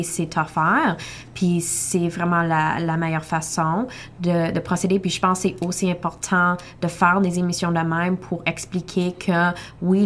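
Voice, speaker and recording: A woman family doctor speaking as a guest on a talk show, explaining calmly with frequent hesitations. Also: lowish voice, a little hiss or hum. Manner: calm, explanatory, with fillers. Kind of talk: panel discussion.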